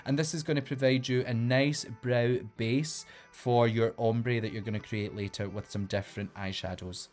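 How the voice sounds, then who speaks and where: A man's voice: mid-range voice, steady tone, normal speed, hardly any pauses, a little mellow, faint music behind. A man with a Glaswegian Scottish accent, a drag queen hosting a makeup tutorial in an upbeat, playful way and giving step-by-step instructions. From Glasgow, Scotland.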